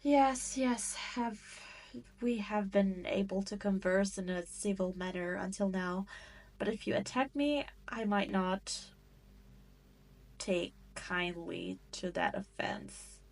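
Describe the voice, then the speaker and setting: A woman's voice: medium pitch, expressive, slow pace, rough recording. A woman playing a cruel, seductive demon queen in an audio roleplay, taunting and condescending as she toys with her prey. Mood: menacing, commanding. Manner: taunting, haughty, condescending, teasing. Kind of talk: scripted roleplay audio drama monologue.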